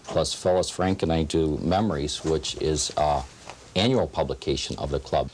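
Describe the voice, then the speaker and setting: A man's voice: very deep voice, normal speed, darkish tone. A middle-aged man talking informally as an interviewed club member, in a reminiscing style. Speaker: old-time radio club member interviewed. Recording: faint background noise.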